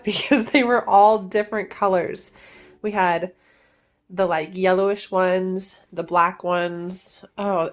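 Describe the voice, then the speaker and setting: A woman in her thirties with a stuffed-up nose, rambling casually as she films a home tour at arm's length, with frequent ums. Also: mid-range voice. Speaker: vlogger. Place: family home, kitchen and kids' bedroom, indoors. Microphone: handheld camera at arm's length.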